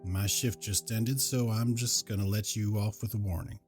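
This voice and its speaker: A man's voice: on the low side, steady tone, normal speed, music in the background, natural voice. A man playing a weary police officer, grudging but lenient, in an audio drama. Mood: weary, stern.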